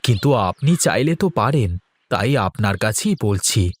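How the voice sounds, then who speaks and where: A man's voice: on the low side, very animated, moderate pace, breathy edge. A man playing a respectful villager who politely petitions his chief, in a folk-tale cartoon. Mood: earnest, polite. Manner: deferential.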